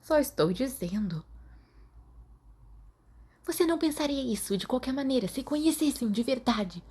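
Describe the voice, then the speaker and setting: A woman's voice: high voice, expressive, moderate pace, warm tone, slightly rough, pitched above the performer's own voice. A woman with a Brazilian accent voicing a flippant, gossipy teenage girl who chatters on. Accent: Brazilian Portuguese.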